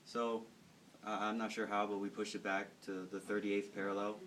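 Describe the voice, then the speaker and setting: A man's voice: lowish voice, little pitch movement, moderate pace, fairly bright tone. A young American man casually telling history in a slangy, irreverent, joking way to classmates. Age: teens to young adult. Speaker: student cadet telling history stories. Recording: noisy room, distant microphone.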